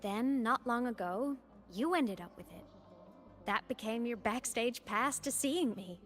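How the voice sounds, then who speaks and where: A woman's voice: fairly high pitch, a little slow, fairly bright tone, soft background music. A woman voicing a playful, teasing digital ghost girl in a video game, breezy and casual but determined. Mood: wistful, breezy. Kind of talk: video game story voice acting.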